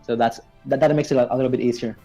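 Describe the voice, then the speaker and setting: Male guest, rapid speech, soft mellow tone, stammering, casual explainer, music in background. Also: mid-range voice, steady tone, vocal fry. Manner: stammering, repeating words as he explains. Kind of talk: casual chat explaining game lore. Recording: faint music behind the voice.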